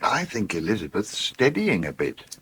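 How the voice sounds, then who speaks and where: An actor playing a sentimental old English farmer in a radio soap, with a husky voice and a halting, reminiscing manner. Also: male voice, fairly low pitch, huge pitch swings, a bit bright, heavily acted. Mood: tender. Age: older. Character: sentimental old farmer, wistful about bygone days. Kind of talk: naturalistic radio soap acting.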